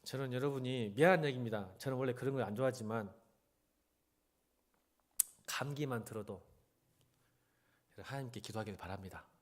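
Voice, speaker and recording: A man's voice: mid-range voice, moderate pace, long pauses. A man preaching a church sermon as a pastor, conversational yet exhorting, often posing rhetorical questions straight to the congregation. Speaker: senior pastor. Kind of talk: church sermon with Bible reading.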